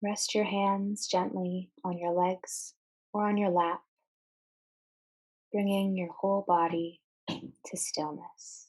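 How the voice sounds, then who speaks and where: A woman leading a guided meditation, giving measured instructions in short phrases with deliberate pauses. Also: on the low side, flat delivery, darkish tone, low-quality recording.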